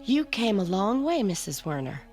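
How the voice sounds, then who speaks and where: A woman's voice: medium pitch, sing-song, moderate pace, darkish tone, lightly acted. An actress playing a sheriff's wife, a fiercely protective foster mother, in a radio drama. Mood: guarded politeness. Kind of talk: vintage-style radio drama acting. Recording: music under the dialogue.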